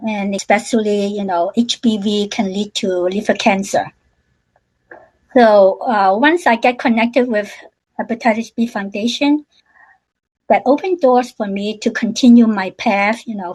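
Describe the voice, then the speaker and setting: Woman, halting testimony. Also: on the high side, low-quality recording. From Hong Kong. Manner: halting, many fillers and restarts. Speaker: panel speaker sharing her story. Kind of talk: personal testimony on a webinar.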